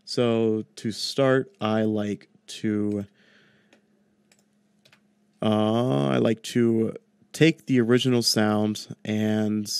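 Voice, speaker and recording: A young man with a fairly low voice walks through a screen-recorded tutorial in an informal, chatty style. He is on a close desk mic in a small room at home, and the sound is dry. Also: a little mellow, smooth, dry recording. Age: 20s. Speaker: audio tutorial host. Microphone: close desk microphone on boom arm.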